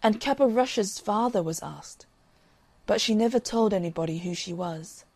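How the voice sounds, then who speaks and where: Woman, fairy tale audiobook narration, medium pitch, creaky edges, expressive storybook phrasing. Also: normal speed, dry recording.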